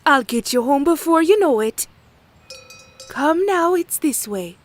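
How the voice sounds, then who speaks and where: A motherly stranger pony from a horror cartoon, acted by a woman. Her voice is high and gentle, coaxing and soothing, with an eerie sweetness. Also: expressive, unhurried. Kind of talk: animated comic dub acting.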